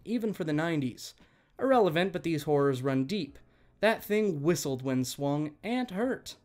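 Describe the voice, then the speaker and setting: A man working as a channel host, reading online stories aloud in an expressive, conversational way and speaking directly to listeners. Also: high-pitched. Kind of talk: reading online stories aloud, scripted narration with chatty asides.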